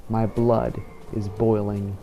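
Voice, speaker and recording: A man voicing a brooding, defiant fantasy hero, narrating his own game adventure in a deliberate, dramatic way. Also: on the low side, little pitch movement, vocal fry, dark tone, soft background music. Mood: grim, restless. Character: brooding male dragon-slaying hero, weary and defiant. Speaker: role-playing gamer narrating as his character. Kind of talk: first-person in-character scripted narration. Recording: faint background noise.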